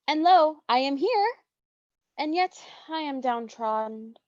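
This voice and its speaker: A woman's voice: high voice, animated, unhurried, darkish tone, dry recording. A woman playing a brash, bawdy teenage girl in a mock-Shakespearean staged reading, with playful, theatrical archaic speech. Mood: dramatic complaint. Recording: dull, dry lo-fi sound.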